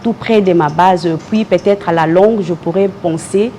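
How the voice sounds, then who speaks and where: A woman from DR Congo with a Congolese French accent, answering as a party member in a political interview in a measured, hesitant way. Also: fairly low pitch, dark tone, soft background music.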